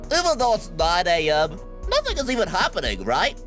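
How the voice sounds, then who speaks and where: Dopey young student boy voiced by a man, sing-song, bouncing pitch. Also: wildly swooping pitch, moderate pace, fairly bright tone, faint music behind, full character voice. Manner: dumb-sounding, sing-song.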